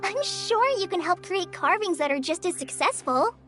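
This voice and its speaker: A woman voicing an eager young child in a video game, practical and quick to doubt. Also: very high voice, animated, fairly bright tone, soft background music. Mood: reasoning. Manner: quick, doubting, matter-of-fact.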